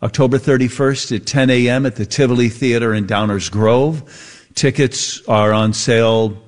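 A man's voice: medium pitch, fairly even intonation, moderate pace. A man hosting a radio talk show, easygoing and laughing often as he chats and plugs events.

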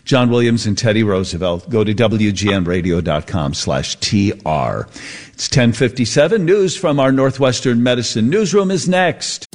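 A man's voice: mid-range voice, expressive, normal speed, darkish tone. A man hosting a radio talk show, easygoing and laughing often as he chats and plugs events.

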